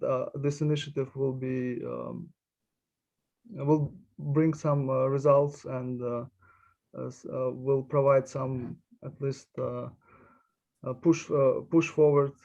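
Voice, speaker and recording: A man who grew up in Uzbekistan, speaking as a panelist in a halting way with many fillers. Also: mid-range voice, creaky, darkish tone, highs cut off. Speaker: panelist, immigration attorney. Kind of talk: panel discussion introduction.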